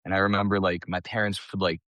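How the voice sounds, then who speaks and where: A man from the US East Coast tells personal stories as a podcast guest. His lowish voice is chatty and colloquial, peppered with "like", and recorded close and dry. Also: level tone, fast talker, dry recording, lo-fi sound. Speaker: podcast guest, small business owner. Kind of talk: casual personal storytelling.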